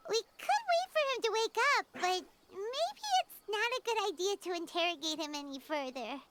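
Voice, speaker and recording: A squeaky tiny fairy companion. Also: female voice, animated, heavy background noise. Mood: worried. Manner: squeaky, chirpy, quick to worry. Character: tiny floating fairy-like companion, chirpy and anxious. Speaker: game character. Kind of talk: video game voice acting.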